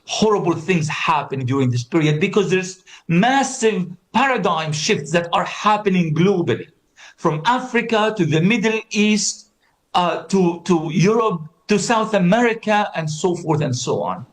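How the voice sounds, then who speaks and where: High-voiced impassioned male panel speaker. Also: animated, a little slow. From Palestine. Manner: impassioned, repeats words for emphasis. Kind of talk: conference speech.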